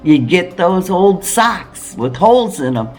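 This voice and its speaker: A woman's voice: lowish voice, sing-song, unhurried, a little mellow, faint music behind. A woman hosting a homesteading video, talking straight to viewers in an earnest, emphatic way with rhetorical questions. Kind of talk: direct-to-viewer preparedness talk.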